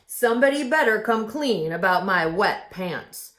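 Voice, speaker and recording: A woman's voice: medium pitch, expressive, measured pace, a bit bright. A woman voicing an indignant male bear who accuses his friends in a huffy, dramatic way. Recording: roomy echo.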